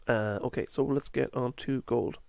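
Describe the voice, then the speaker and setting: Gravelly man losing his voice. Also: mid-range voice, a little mellow, no echo, clean studio sound. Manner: halting, fillers, self-correcting. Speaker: market analyst. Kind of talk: chart walkthrough.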